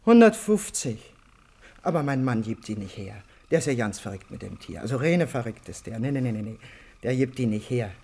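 A man's voice: medium pitch, lively intonation, fairly quick, mellow, slightly rough. A man playing a chatty, colloquial pet shop seller in a German radio play. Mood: emphatic. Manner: colloquial, repetitive, chatty sales talk.

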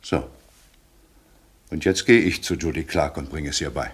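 An actor playing a sober, exacting detective who reasons formally and insistently in a radio crime drama. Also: male voice, rumbling low, normal speed, slightly rough. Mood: grave, insistent. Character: sober male detective, professionally proud and exacting.

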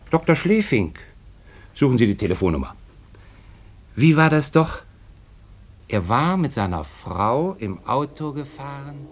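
An actor playing a fussy, inquisitive editor in a German radio play, businesslike and thinking out loud. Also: male voice, on the low side. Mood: pondering. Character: fussy, inquisitive magazine editor dictating and telephoning.

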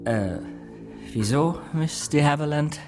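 A man's voice: fairly high pitch, slow pace, darkish tone, soft background music. A man playing an earnest, self-doubting amateur investigator who narrates and talks in a radio crime drama. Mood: earnest, reflective. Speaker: narrator and protagonist.

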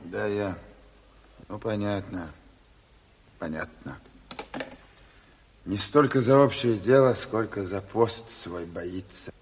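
A man's voice: lowish voice, slow pace, pauses often, breathy edge. An actor playing a weary, wry, folksy Soviet chairman in a radio play, talking colloquially. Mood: wry, conciliatory. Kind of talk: naturalistic radio play acting. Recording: reverberant room.

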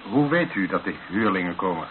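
A doubtful, questioning man in hiding during a war, with a low voice. The radio drama sounds narrow and clearly noisy, like an old broadcast. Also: animated, a little fast. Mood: wary. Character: uneasy, questioning male companion in war story. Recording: noisy band-limited old radio recording.

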